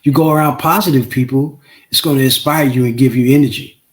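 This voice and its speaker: A man's voice: medium pitch, steady tone, fairly quick. A man, a musician guest on an interview podcast, talking emphatically, repeating himself and checking with "right?". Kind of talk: casual interview chat.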